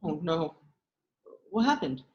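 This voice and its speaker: A woman's voice: deep voice, big pitch swings, slow, roomy sound, highs cut off, full character voice. A woman playing a good-natured, hesitant young college guy in a comedy table read. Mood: puzzled. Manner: hesitant, puzzled, earnest.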